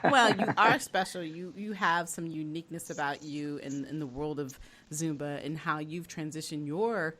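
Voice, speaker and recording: A woman's voice: sits low, normal speed, a bit bright. A woman co-hosting a casual podcast, chatty and bubbly, laughing easily as she introduces and questions a guest. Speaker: podcast co-host.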